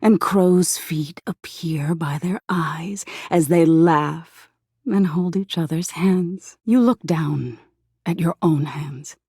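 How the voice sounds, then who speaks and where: A woman playing an eerie, softly menacing embodiment of existential dread in a video game, narrating slowly in a hushed, breathy voice that slips into whispers. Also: low-pitched, no echo, clean studio sound, heavily acted. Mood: grim, haunting. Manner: slow hushed storytelling, lapsing into whispers.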